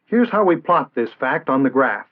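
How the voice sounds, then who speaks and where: An American man reading scripted instructional narration in a measured, formal, didactic way, in the style of an early 1960s training film. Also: mid-range voice, very animated. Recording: tinny band-limited vintage 1960s film soundtrack.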